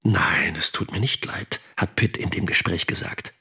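A man's voice: low voice, moderate pace, bright, forceful tone, slightly rough, heavily acted. An actor playing a lonely, bitter middle-aged teacher and father in a reflective radio drama monologue.

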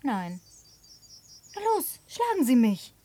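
An actress dubbing a woman who presses her companion with quiet, insistent questions. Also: high voice, wildly swooping pitch, takes it slowly, exaggerated voice. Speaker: lead character in a dubbed film. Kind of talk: dubbed film acting.

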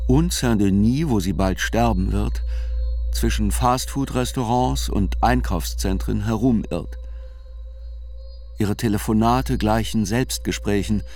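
A man narrates a radio play from a literary script. His voice is on the low side. Soft music sits underneath.

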